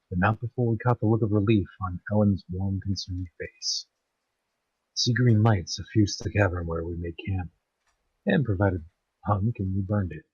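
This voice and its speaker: A man giving an amateur dramatic story narration, halting in places and stumbling over words. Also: sits low, breathy edge, no room sound, muffled recording. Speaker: narrator of online story readings.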